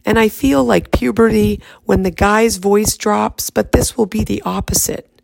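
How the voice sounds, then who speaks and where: A woman reading her own poem aloud in a raspy, hoarse voice from laryngitis, speaking softly. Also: mid-range voice, steady tone, dry recording. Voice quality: raspy, fried voice. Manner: soft, unhurried speaking.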